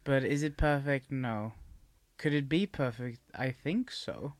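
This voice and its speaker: A woman with a strikingly low voice talks in an easy, unhurried manner and laughs often as a podcast guest. Her voice sounds close and dry. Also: dry recording. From Sweden. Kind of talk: casual conversational chat.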